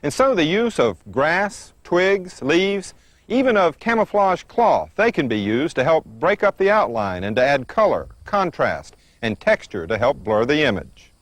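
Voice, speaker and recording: A man with an American accent narrating a scripted training film as an instructor, methodical and clear in his delivery. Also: fairly high pitch, sing-song, a little slow, dry recording. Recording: noisy 1990s training film soundtrack.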